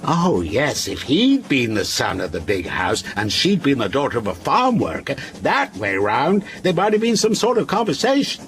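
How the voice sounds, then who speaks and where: An actor in a radio drama playing a wistful, contrary older man who tells stories in a deliberate, lyrical and insistent way. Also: mid-range voice, wildly swooping pitch, slight background noise. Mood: insistent, challenging. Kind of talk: radio drama acting, storytelling.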